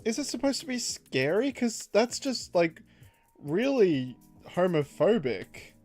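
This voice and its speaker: A man casually chatting on a livestream while he draws, reading out chat messages, laughing often and jumping up in pitch for jokes. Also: very animated, takes it slowly, darkish tone, soft background music.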